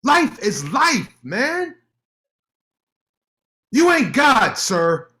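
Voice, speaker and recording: Man voicing a cutting sarcastic lawyer, high lively voice, stage-play reading. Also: drawn-out words, heavily acted.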